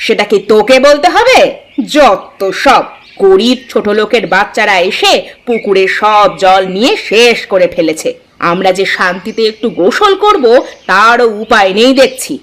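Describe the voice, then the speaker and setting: A woman's voice: mid-range voice, sing-song, normal speed, fairly bright tone. A woman voicing a scornful, quarrelsome rich wife in a village cartoon. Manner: scornful, scolding, insulting. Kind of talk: cartoon village drama acting.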